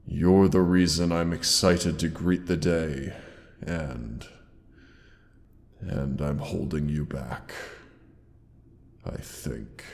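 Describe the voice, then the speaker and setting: A man playing a huge male dragon in a roleplay audio drama, grandiose yet tender and protective, with gravelly, theatrical, old-fashioned formal speech. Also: heavily acted. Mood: fond, sad. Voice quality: very deep, gravelly creaking voice. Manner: slow, theatrical, archaic formal phrasing with pauses. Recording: long cavernous reverb.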